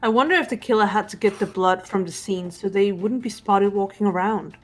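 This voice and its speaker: A woman's voice: mid-range voice, a little fast, lightly acted. A woman voicing a cool, analytical teenage girl detective in fan-made game dialogue, composed and assertive. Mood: matter-of-fact. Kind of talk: fan-voiced visual novel game dialogue. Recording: music behind the voice.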